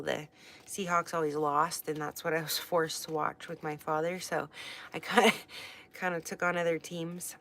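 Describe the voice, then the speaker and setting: Chatty, rambling woman with a lowish voice. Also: fairly bright tone. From west coast of the United States. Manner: chatty, rambling, many fillers and restarts. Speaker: astrology teacher. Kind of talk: casual livestream book study.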